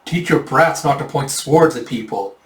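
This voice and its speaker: A man puts on a crude bandit chief in a told story. He bullies and makes threatening demands at a middling pitch. The sound is echoey and somewhat distant. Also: animated, normal speed, natural voice. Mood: bullying. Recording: echoey room, distant from mic.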